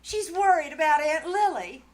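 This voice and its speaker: A woman's voice: high-pitched, animated, moderate pace. A woman playing a fussy, well-meaning 1940s mother in old-time radio comedy, earnest and scolding. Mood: concerned.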